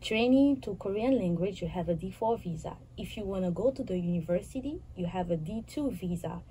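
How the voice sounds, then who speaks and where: A woman in her twenties from Haiti, a guest answering interview questions in an earnest, halting way, often restarting her phrases. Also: mid-range voice, expressive, warm tone, a little hiss or hum, poor audio. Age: 20s.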